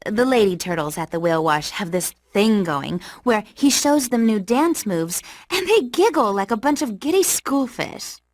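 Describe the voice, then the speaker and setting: An amused lady sea creature gossiping in a cartoon game. The medium-pitched voice is wry and chatty, recorded close and dry. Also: sing-song, normal speed, hardly any pauses, dry recording.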